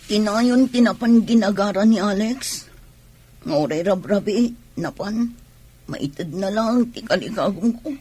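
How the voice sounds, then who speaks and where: A woman playing a distraught, pregnant young wife in a radio drama, speaking slowly and plaintively. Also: medium pitch, expressive, mellow, breathy edge. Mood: bitter, hurt. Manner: slow, plaintive delivery. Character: distraught pregnant young wife grieving over injured husband.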